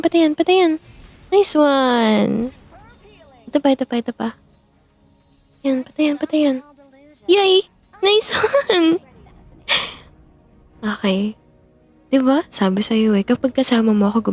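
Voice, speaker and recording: A woman's voice: on the high side, vocal fry, darkish tone, breathy edge, exaggerated voice. A woman performing an audio roleplay as a playful young best friend who teases, giggles and backtracks when flustered. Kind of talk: audio roleplay, chatting while gaming.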